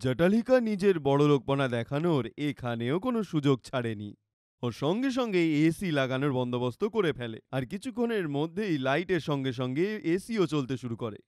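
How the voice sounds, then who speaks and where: A man's voice: a little high, very animated, brisk, a little mellow, no room sound, clean studio sound. A man narrating a scripted cartoon fairy tale as the storyteller.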